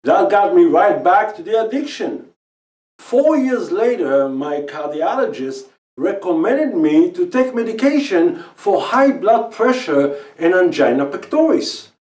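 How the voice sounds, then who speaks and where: A man's voice: high voice, darkish tone. A middle-aged man with an Israeli accent, a native Hebrew speaker, telling his own story of quitting smoking in earnest, slightly non-native English. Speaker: speaker pitching a quit-smoking program. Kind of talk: personal testimonial talk. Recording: roomy, from some distance.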